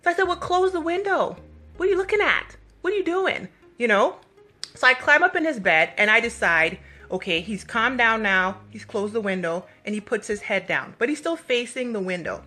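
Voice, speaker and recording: A woman's voice: mid-range voice, bright, forceful tone. A woman vlogger telling personal stories with a faith message, emphatic and fond of acting out dialogue and asking rhetorical questions. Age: adult parent of a young child. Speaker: vlogger giving a motivational faith talk. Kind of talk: animated personal storytelling with faith encouragement. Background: background music.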